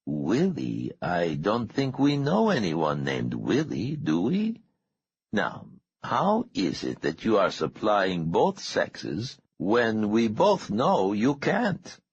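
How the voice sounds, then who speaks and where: A man voicing a calm, menacing male interrogator in an audiobook, soft-spoken, unhurried and falsely courteous. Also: lowish voice, breathy edge, no echo, highs cut off, voice pushed up, exaggerated voice. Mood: calm menace. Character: calm, menacing balaclava-masked male interrogator with irritating accent. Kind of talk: audiobook character dialogue.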